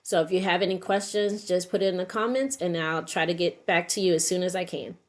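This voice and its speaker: A woman's voice: lowish voice, fairly even intonation, fairly quick. A middle-aged woman narrating an informal step-by-step tutorial, unscripted and thinking aloud as she works. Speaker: craft tutorial host.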